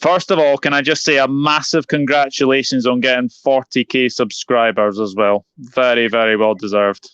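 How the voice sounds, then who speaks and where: A man's voice: medium pitch, fairly even intonation, moderate pace, hardly any pauses, fairly bright tone, clean tone, dry recording. A man hosting a football preview interview, speaking politely and often repeating his phrases. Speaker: football channel host. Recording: slightly dull, lo-fi audio.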